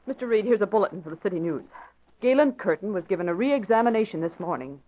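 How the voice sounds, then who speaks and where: An actress playing a brisk, wry newspaper secretary in a 1940s radio drama. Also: female voice, mid-range voice, expressive, lightly acted. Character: sharp female newspaper secretary. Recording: dull lo-fi old radio recording.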